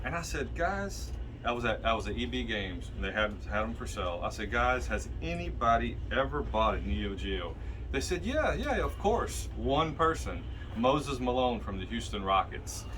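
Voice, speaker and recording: A man's voice: mid-range voice, noisy background. A man in his fifties telling store stories in a casual, rambling way, filmed on a phone inside his game shop. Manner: chatty storyteller, rambling with restarts and asides. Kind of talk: casual store video chat. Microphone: phone camera held by a helper.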